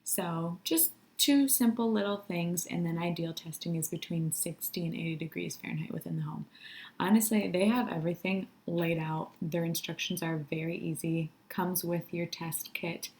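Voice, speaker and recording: Husky-voiced Midwestern American woman. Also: fairly low pitch, moderate pace, a little mellow. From Michigan, USA. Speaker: product reviewer. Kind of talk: casual review talk to viewers.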